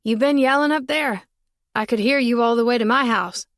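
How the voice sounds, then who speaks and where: A woman's voice: fairly high pitch, normal speed, dry recording, clean studio sound, pitched above the performer's own voice, natural voice. A woman voicing a brave, boastful young boy who teases and reassures. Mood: cocky. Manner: boastful, teasing. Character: cocky, brave young boy who calls himself a dragon.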